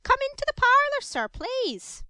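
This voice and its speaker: A fawning, gushing woman who runs a home for pauper children, as a woman reader puts her on. Her voice climbs high and shrill as she coaxes and flatters. The recording is close and dry. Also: measured pace, no room sound, heavily acted.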